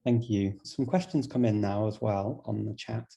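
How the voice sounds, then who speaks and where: Man, very dark mellow voice, conversational host, video call. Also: fairly low pitch, normal speed, gravelly. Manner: asks questions in a relaxed conversational way. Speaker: conference host. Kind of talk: interviewing over video call.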